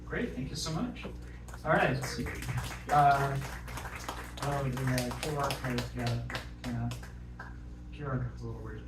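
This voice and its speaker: A man hosting a live event, moderating casually in a relaxed, halting way. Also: medium pitch. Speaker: event host. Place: bar venue stage, roomy. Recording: echoey venue from far off, noisy.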